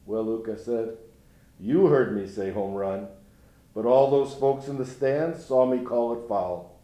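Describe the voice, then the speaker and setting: A man's voice: medium pitch, measured pace, warm tone, lightly acted. An actor playing a grieving older grandfather who speaks in a folksy, rueful way in a dramatic monologue. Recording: roomy echo.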